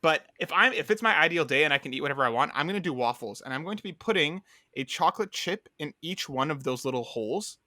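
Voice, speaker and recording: A man's voice: on the high side, expressive, quick pace, few pauses, pushed and bright, no room sound, clean studio sound. A young man co-hosting a podcast, chatting casually and rambling upbeat through a story with lots of fillers. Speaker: podcast co-host.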